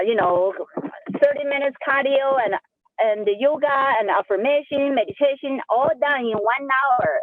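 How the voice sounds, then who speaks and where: An enthusiastic woman with a Chinese accent speaks on a group coaching call, repeating herself as she keeps her energy up. Her voice sits a little high and comes through a tinny, dry phone line. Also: dry recording. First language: Sichuan dialect. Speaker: real estate agent.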